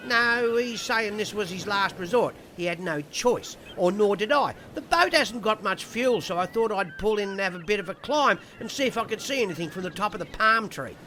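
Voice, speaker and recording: A bright, sharp actress's voice for a brash, eccentric female castaway. Her pitch swings about in lively fashion as she talks bluntly and swears, in a comedy audio drama with some background noise. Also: normal speed, few pauses, close to an everyday voice. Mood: brash, animated. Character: Filthy Pixie, brash foul-mouthed eccentric castaway.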